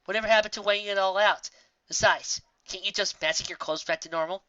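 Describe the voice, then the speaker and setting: A man's voice: high voice, fairly even intonation, quick pace, muffled recording, noisy background, voice pushed up, natural voice. A man voicing a temperamental but sensible female pegasus in a storybook reading, dry and blunt. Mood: concerned. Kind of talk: fan fiction storybook reading.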